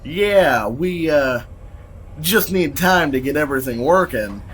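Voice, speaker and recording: A man's voice: a little high, animated, a little slow, a bit bright, slight background noise. A man playing a cocky battleship crewman with an American accent in an audio drama, blunt and casual.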